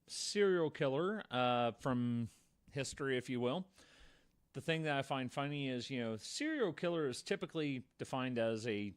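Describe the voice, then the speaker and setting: A man's voice: a little high, smooth. A man co-hosting a podcast, telling true-crime stories in a conversational way with wry, darkly joking asides. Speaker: podcast co-host.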